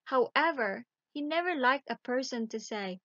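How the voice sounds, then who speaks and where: A woman storyteller reading a children's bedtime story aloud in a measured, deliberate way. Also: high-pitched, expressive, a bit bright, no room sound, lo-fi sound.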